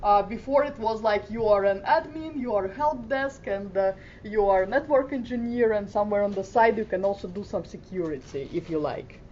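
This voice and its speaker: A woman's voice: moderate pace, few pauses, darkish tone. Hesitant Finnish-born woman giving a conference talk. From Finland. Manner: hesitant, many fillers, plain delivery. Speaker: conference speaker. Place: conference room. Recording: muffled conference audio with faint rumble.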